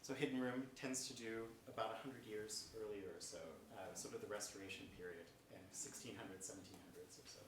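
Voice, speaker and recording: A man moderating a public theatre question-and-answer session, phrasing his thoughts haltingly and thoughtfully. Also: lowish voice, fairly even intonation. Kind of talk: public Q&A discussion. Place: stage of a mid-sized theatre auditorium. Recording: echoey theatre, distant mic, noisy.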